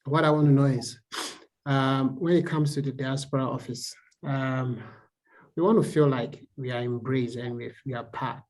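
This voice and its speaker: A man's voice: medium pitch, fairly even intonation, normal speed, darkish tone. Hesitant man asking questions at a forum. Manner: hesitant, repeats words while searching. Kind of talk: online discussion question.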